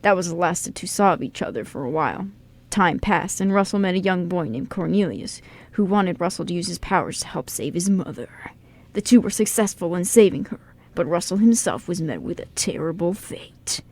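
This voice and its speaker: A woman's voice: fairly low pitch, expressive, a little fast, darkish tone. A woman hosting a radio show, moving between scripted introduction and casual chat.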